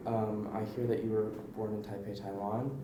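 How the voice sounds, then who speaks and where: A man's voice: fairly low pitch, level tone, moderate pace. A man with an American accent interviewing conversationally in an affirming, encouraging way, recorded in an echoing room with some background noise. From California. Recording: roomy echo with faint background noise.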